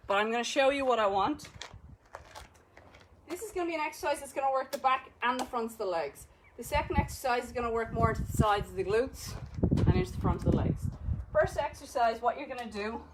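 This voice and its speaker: A woman's voice: a little high, a bit bright, a little hiss or hum, low-quality recording. A woman with an Irish accent teaching a live exercise class, cueing each movement and counting reps with encouragement. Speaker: fitness instructor.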